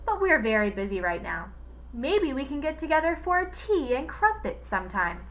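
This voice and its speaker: A woman voicing a sly villainous male teacher who talks in a slow, falsely pleasant, syrupy voice. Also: sits high, sing-song, a bit bright, pitched above the performer's own voice. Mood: falsely charming.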